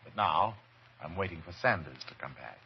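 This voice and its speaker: An actor playing an obsessed, murderous doctor in a theatrical old-time radio horror drama. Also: male voice, sits low, expressive, moderate pace, gravelly, husky, full character voice. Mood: feverish, obsessed. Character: obsessed doctor turned coldly murderous, later terrified. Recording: dull, noisy vintage radio recording.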